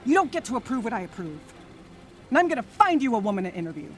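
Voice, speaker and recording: Quick animated woman, feisty wife, combative, insistent, radio drama, faint road noise. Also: on the high side. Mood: argumentative. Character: feisty, contrary wife of a traveling columnist.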